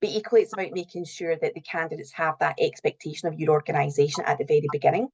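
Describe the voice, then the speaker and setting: A woman's voice: low voice, steady tone, rapid-fire, a little mellow, slightly rough, lo-fi sound. A middle-aged woman giving earnest, explanatory answers as a guest in a conversational interview. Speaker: interview guest, recruitment and HR professional.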